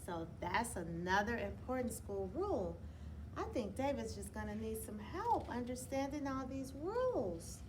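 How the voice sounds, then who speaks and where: A woman teacher reading a picture book aloud to children, explaining gently and often checking in with "right?". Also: fairly high pitch, sing-song, measured pace. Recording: clear background noise.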